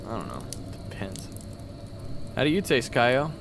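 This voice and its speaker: A man's voice: medium pitch, wildly swooping pitch, measured pace, background noise, pitched above the performer's own voice. A man voicing a playful, cocky male swordsman in an audio roleplay. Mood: teasing. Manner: teasing, wisecracking. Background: background music.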